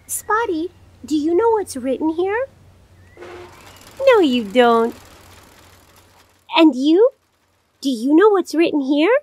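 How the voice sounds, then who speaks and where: A woman's voice: sits high, very animated, darkish tone, full character voice. A woman voicing a cheerful, curious little girl who kindly guides young viewers in a children's cartoon. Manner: slow, clearly enunciated, questioning, exclaiming. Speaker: host character of a children's cartoon.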